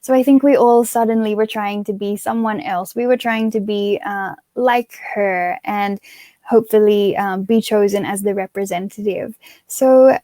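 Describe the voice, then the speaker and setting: A woman's voice: medium pitch, fairly even intonation, normal speed, warm tone, no echo. Give me a young woman from Australia, a pageant titleholder answering interview questions in a chatty, earnest way with lots of fillers.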